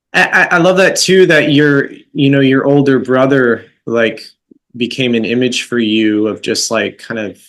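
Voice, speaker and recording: A man hosting a podcast interview, speaking slowly and gently, affirming his guest with soft fillers. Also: medium pitch. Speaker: podcast host.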